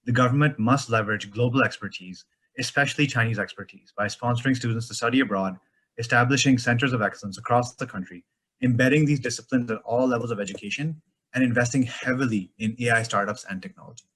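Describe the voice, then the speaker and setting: A man from Canada, a master's student, forcefully delivering a prepared statement. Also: medium pitch, fast, slightly rough.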